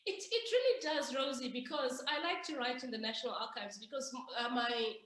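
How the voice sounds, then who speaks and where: A high-pitched woman from Zimbabwe talks about her writing as an interview guest. She tells her stories in a lively way and often restarts her sentences. Her voice rings around a very echoey room. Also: fairly quick. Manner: animated, storytelling with self-interrupting restarts. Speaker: author guest. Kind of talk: conversational interview answers.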